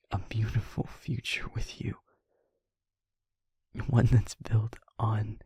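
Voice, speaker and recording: Casting: a man to play a gentle, protective vampire boyfriend for audio roleplay; low, soft, husky near-whisper, earnest and intimate. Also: dry recording, exaggerated voice. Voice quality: breathy, husky, half-whispered. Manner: slow, halting, tender, earnest delivery. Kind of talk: intimate audio roleplay, ASMR-like scripted acting.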